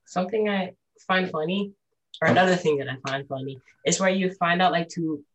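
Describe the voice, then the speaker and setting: A woman's voice: on the low side, fairly quick, slight background noise. A young woman in a casual chat with friends, voicing thoughtful opinions. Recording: voice a bit distant from mic.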